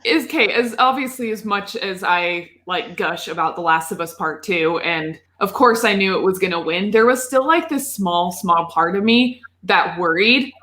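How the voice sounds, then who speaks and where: A woman's voice: medium pitch, normal speed, hardly any pauses, pushed and bright. A woman co-hosting a casual podcast about video games, talking informally in rambling, emphatic asides. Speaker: podcast co-host.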